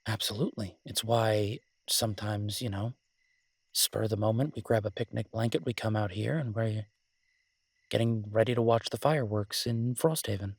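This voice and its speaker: Man acting a husky, low-voiced smitten werewolf boyfriend. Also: moderate pace, dry recording. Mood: relaxed, affectionate. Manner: hesitant, rambling, tender and teasing. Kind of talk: intimate romantic audio roleplay, conversational.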